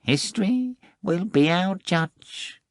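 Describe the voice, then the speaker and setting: A man's voice: high voice, lively intonation, slow, no room sound, studio quality, pitched above the performer's own voice, exaggerated voice. A man voicing a boastful, theatrical talking tomcat with a pompous, mock-dignified manner. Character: boastful talking male cat, sly and theatrical.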